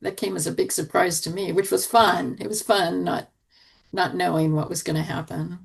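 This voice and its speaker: A woman's voice: fairly low pitch, fairly even intonation, normal speed, creaky, slightly rough. A woman chatting casually as a panelist on a review podcast.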